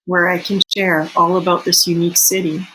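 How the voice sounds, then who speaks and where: A Canadian-born woman in her forties whose first language is Serbo-Croatian, reading a personal reflection in an earnest, measured way. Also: fairly low pitch, little pitch movement, bright, forceful tone, breathy edge, poor audio. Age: 40s. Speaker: teacher sharing a personal reflection.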